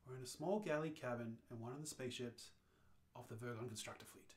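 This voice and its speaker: A brisk, matter-of-fact space traveler from a radio play, played by a man, with a medium-pitched voice. He is unfazed and practical as he guides a confused friend. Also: lightly acted. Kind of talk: radio play read-through.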